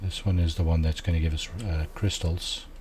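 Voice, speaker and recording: A man with a low, soft voice, quietly talking through a video game at an unhurried pace, musing aloud with many fillers. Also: little pitch movement, a little hiss or hum. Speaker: gameplay streamer. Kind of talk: casual let's-play commentary.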